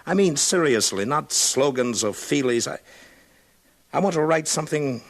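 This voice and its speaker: A man's voice: on the high side, expressive, normal speed, no echo. An actor playing an earnest, confident male writer in a vintage radio drama, talking frankly as a close friend. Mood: earnest, wistful. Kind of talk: 1950s radio drama acting.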